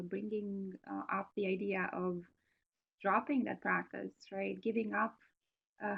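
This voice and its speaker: A woman's voice: medium pitch, creaky. A woman with a Romanian accent giving a webinar talk on parenting, earnest and hesitant with lots of fillers and restarts. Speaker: guest presenter on parenting programs. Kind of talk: webinar presentation with audience Q&A. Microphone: video call. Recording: slightly muffled online call audio.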